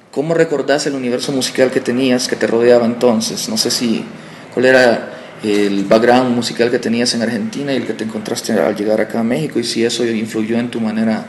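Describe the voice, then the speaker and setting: A man from El Salvador with a mid-range voice conducting an interview, his questions casual and friendly. A faint hiss sits behind him. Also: mid-range voice. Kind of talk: conversational interview.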